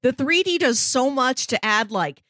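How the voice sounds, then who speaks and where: A woman's voice: sits high, lively intonation, normal speed, a bit bright, no echo, studio quality. A woman co-hosting a podcast, chatting casually in a review discussion. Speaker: podcast co-host.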